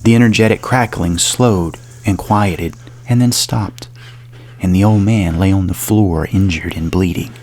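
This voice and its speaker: Low-voiced man narrating a dark tale. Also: measured pace. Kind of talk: scripted horror story narration. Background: music.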